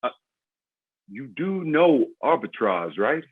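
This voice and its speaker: An actor playing a cocky, foul-mouthed crime boss with swaggering street talk in a screenplay table read. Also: male voice, mid-range voice, very animated, slow pace, slightly rough, narrow, tinny audio, exaggerated voice. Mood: boastful, menacing.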